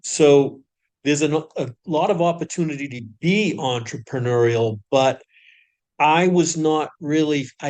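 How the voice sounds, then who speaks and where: A middle-aged man as a podcast guest, speaking reflectively and hesitantly, with pauses and restarts as he recounts his career. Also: mid-range voice, darkish tone. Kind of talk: reflective conversational storytelling.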